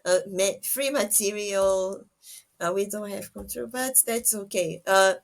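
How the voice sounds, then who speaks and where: Slow, hesitant woman interviewer. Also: medium pitch, vocal fry. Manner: hesitant, searching for words. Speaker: interviewer, podcast host. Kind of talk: casual podcast interview.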